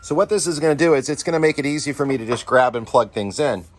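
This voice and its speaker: A man's voice: medium pitch, fast talker, slight background noise. A man hosting a casual DIY tutorial, explaining each step informally to viewers as he works. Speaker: DIY off-road channel host. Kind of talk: casual hands-on install tutorial.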